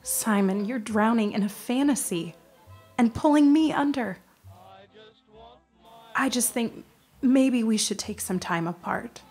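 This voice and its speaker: An actress playing a weary, practical girlfriend in a period audio drama, speaking with measured, earnest reproach. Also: a little high, a little mellow, breathy edge, faint music behind. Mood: weary, firm. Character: weary, practical young girlfriend losing faith in a dreamer.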